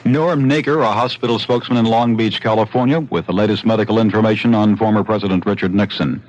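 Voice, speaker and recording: A man's voice: on the low side, fairly even intonation, fast, darkish tone. An American man reading a scripted radio news bulletin as a reporter. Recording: dull archival radio broadcast.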